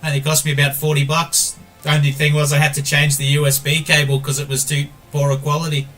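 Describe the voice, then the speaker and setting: A man's voice: on the high side, completely flat delivery, brisk, a bit bright, soft background music. An Australian man streaming a game, chatting casually and calling everyone mate while he reads viewer messages aloud. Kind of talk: casual gameplay stream chat.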